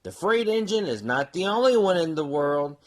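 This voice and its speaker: A woman's voice: on the low side, very animated, moderate pace. A woman voicing a small, hopeful male toy clown in a storybook, eager and exclamatory.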